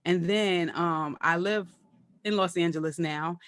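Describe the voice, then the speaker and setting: A woman with a Brooklyn accent, a comedian guest chatting casually and telling animated stories with frequent laughter. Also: lowish voice, a little slow, no room sound, clean studio sound. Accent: Brooklyn, New York. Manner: laughs often, animated storytelling. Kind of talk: casual interview chat.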